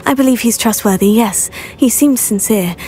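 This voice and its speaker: A woman's voice: fairly high pitch, normal speed, warm tone, soft background music, lightly acted. An actress playing an earnest, brave teenage witch student in a video game, polite and determined. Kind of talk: video game character acting.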